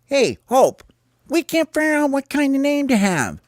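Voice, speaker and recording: A storyteller voicing excitable young sparrows in a children's story, chatty and full of exclamations. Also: sits high, moderate pace, dry recording, studio quality, voice pushed up. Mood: excited, squeaky. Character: excitable squeaky young sparrows playing a ball game. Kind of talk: animated character voices in children's storytelling.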